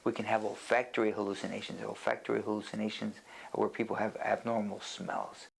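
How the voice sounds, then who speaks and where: A man speaking as a doctor in an unscripted educational lecture, explaining things in plain words with a halting, deliberate delivery. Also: lowish voice, flat delivery, vocal fry, husky.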